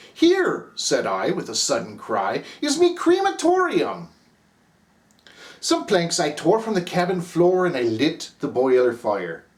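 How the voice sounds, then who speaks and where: A man with an Irish accent reciting a ballad as the narrator Cap, in a rhythmic, theatrical delivery. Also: a little high. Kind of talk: dramatic poem recitation.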